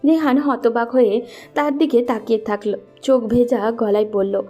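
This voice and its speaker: Casting: female storyteller for romance audio, high but mellow voice, gentle music bed. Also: fairly even intonation, moderate pace. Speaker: narrator. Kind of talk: scripted romantic story narration.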